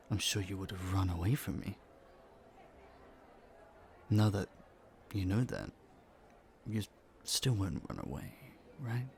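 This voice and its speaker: Low, creaky gentlemanly young prince acted by a man. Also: heavily acted. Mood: unsure, flustered. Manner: playful, polite, slightly flustered, hesitant stammers. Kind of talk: romantic audio roleplay, speaking to a listener. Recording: faint noise, background ambience.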